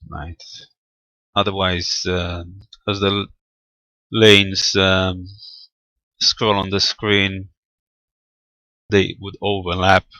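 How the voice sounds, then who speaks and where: A man with a low, bright-edged voice talks through a technical demonstration. He explains methodically and haltingly, feeling his way through each step without a script. The sound is close and dry. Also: little pitch movement, no echo, rough recording. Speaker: amateur radio hobbyist presenting equipment. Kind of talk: unscripted screen-recorded technical walkthrough.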